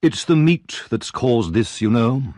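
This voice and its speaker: A man voicing a pompous middle-aged male parish official who speaks slowly and heavily, in a storybook reading. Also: medium pitch, expressive, a little mellow, no echo, clean studio sound.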